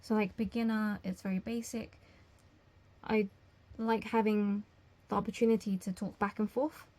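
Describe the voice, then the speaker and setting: A young woman answering job interview questions as a language teacher, explaining things in a measured way. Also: mid-range voice, level tone, mellow. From England.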